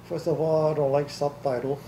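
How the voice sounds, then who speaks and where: A man's voice: on the high side, level tone, brisk, warm tone. An older man from Hawaii, answering questions in an oral history interview, blunt and plainspoken, laughing easily. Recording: echoey room with faint hiss.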